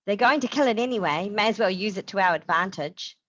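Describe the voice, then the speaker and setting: A woman's voice: mid-range voice, a little fast, fairly bright tone, no room sound, dull audio, natural voice. A woman voicing an urgent, pragmatic male zombie-apocalypse survivor in a story reading.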